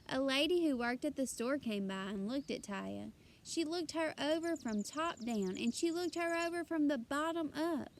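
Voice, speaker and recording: A woman's voice: sits high, slight background noise. A woman reading a children's story aloud expressively and emphatically, like a teacher addressing her young listeners.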